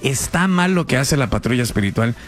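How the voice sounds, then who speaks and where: A man's voice: medium pitch, lively intonation, brisk. Slangy male radio host over faint music. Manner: casual, uses slang with callers. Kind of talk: radio talk show hosting.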